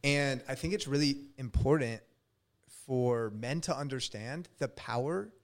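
A man in his thirties hosting a podcast, chatting casually and relaxed, with lots of "like" and some swearing. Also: mid-range voice, measured pace. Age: 30s. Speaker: podcast host. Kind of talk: casual interview chat.

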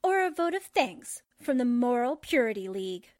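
A woman's voice: a little high, very animated, moderate pace, a little mellow, no echo, studio quality. A woman playing a disdainful, catty party guest with a sardonic drawl, in 1940s radio drama style. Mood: scornful.

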